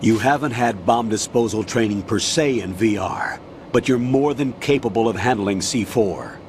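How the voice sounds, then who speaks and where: An actor playing a stern military colonel in a video game, giving orders in a firm, formal, authoritative manner. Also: male voice, fairly low pitch, moderate pace, slight background noise, natural voice. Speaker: colonel, commanding officer. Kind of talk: video game voice acting, briefing.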